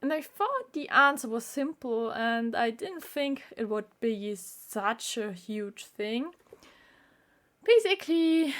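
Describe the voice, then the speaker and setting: Slow, earnest woman. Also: on the high side. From Austria. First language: German. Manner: earnest, halting with frequent fillers. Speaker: vlogger. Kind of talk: casual talk to camera.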